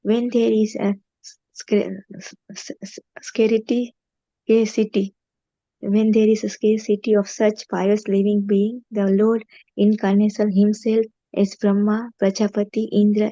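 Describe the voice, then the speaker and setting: Woman reading slow and stumbly, flat mellow voice, muffled lo-fi sound. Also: medium pitch, no echo. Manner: hesitant reading, stumbling over names. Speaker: student reader. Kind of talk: reading a translation aloud. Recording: dull, lo-fi sound.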